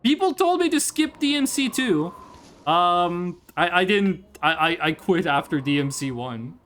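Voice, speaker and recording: A man's voice: sits high, animated, a little slow, a bit bright. A man from Norway, a native Norwegian speaker, chats casually on a game livestream in a rambling, curious way and swears freely. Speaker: game streamer. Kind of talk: casual livestream chat over gameplay.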